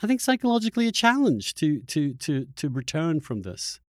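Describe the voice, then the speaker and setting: A man hosting a conversational interview, thinking aloud in a halting, searching way and often repeating words as he goes. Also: on the high side, huge pitch swings, a little mellow, dry recording, clean studio sound. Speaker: podcast host interviewer.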